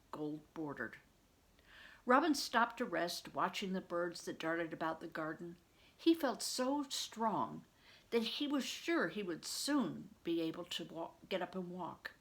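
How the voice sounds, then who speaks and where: An older woman reading a story aloud like a teacher, pausing to explain and put questions to the listener. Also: fairly low pitch, lively intonation, fairly bright tone, slightly rough.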